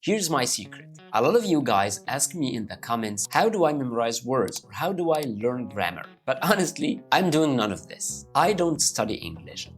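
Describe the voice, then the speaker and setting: A man's voice: mid-range voice, normal speed, hardly any pauses, faint music behind. A man from Egypt with an Egyptian Arabic accent, a native Arabic speaker, giving upbeat English-learning tips straight to his viewers. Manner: upbeat and animated, addressing viewers directly. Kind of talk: talking to camera, motivational tips.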